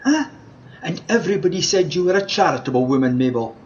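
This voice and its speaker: Man acting a lively, high-voiced Scottish wish granter. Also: quick pace, lightly acted. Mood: cajoling. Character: pleading, mischievous male wish granter disguised as a scruffy tramp. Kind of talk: amateur radio play acting. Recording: dull remote home recording.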